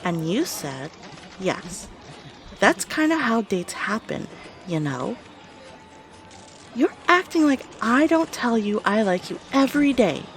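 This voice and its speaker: A woman's voice: on the high side, big pitch swings, slow, pauses often, faint music behind, exaggerated voice. A woman acting a playful young gamer on a date in an audio roleplay, teasing and blunt in a casual way.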